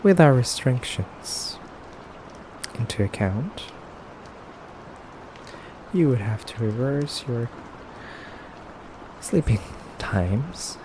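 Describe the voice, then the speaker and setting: Guy doing a pretty effeminate vampire captor for asmr, real slow and soft, polite but menacing, noisy background. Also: medium pitch, animated. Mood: calm, solemn. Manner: soft-spoken, slow, deliberate, pausing often. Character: beautiful, effeminate male vampire captor, courteous yet menacing. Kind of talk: ASMR roleplay.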